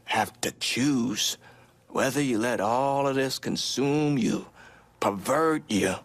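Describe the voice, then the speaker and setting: An actor playing a solemn adviser who gives a grave, deliberate warning. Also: male voice, mid-range voice. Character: solemn male adviser warning against hatred.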